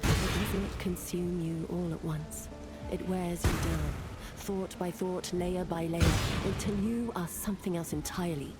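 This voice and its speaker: An actress playing a weary, regretful woman in a video game, narrating in a solemn, measured, reflective way. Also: on the low side, steady tone, natural voice. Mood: grave, resolute. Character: weary, regretful woman, solemn fantasy mapmaker recalling loss. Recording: loud cinematic music behind the voice.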